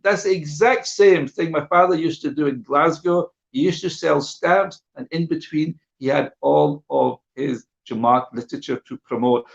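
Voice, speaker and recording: A man's voice: a little high, normal speed, gravelly, few pauses. A middle-aged man giving an informal illustrated talk online, conversational, repeating phrases for emphasis and checking in with his listeners. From born in Guyana. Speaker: guest speaker sharing memories of his father. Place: live online video call. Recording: dull, muffled online stream audio.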